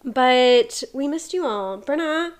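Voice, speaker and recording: A woman's voice: sits high, animated, slow pace, smooth. A young woman co-hosting a podcast in casual chat, upbeat and friendly, her voice stuffy from a cold. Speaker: podcast co-host.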